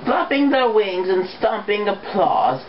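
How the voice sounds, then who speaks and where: An amateur narrator reads a story slowly and deliberately, with lively swoops in pitch and some halting. The recording is muffled, with clear background noise. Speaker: co-reader of fan fiction. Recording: dull lo-fi mic with background noise.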